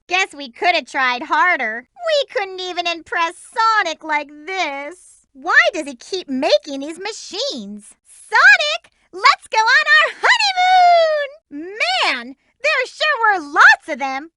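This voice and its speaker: A woman's voice: very high voice, background noise, heavily acted. A woman voicing a peppy, lovestruck young female hedgehog heroine for a video game, bubbly and bossy with chirpy exclamations. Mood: disappointed, then eager. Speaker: voice actress. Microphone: studio mic.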